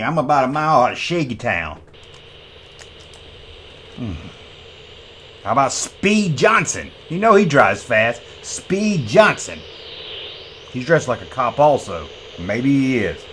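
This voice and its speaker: A man's voice: medium pitch, big pitch swings, moderate pace, long pauses, fairly bright tone. A folksy man hosting a toy review, chatting casually with playful CB slang and repeating himself. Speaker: toy review video host. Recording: faint background noise.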